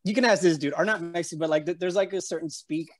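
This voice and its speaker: A man with a slightly high voice and a San Antonio accent that carries a light Spanish tinge. He rambles through a casual story full of fillers and restarts. His voice comes through a home webcam on a video call. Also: fast talker. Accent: San Antonio Texan with a slight Spanish tinge. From San Antonio, Texas. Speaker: interview guest. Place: room at home.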